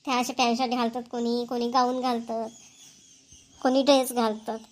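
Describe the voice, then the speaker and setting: A woman's voice: on the high side, level tone, normal speed, natural voice. A woman voicing a talkative, homely village housewife in a cartoon, with a rural Varhadi accent and a folksy, emphatic way of explaining things. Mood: chatty, explaining. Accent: rural Maharashtrian, Varhadi. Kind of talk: Marathi village family cartoon dialogue.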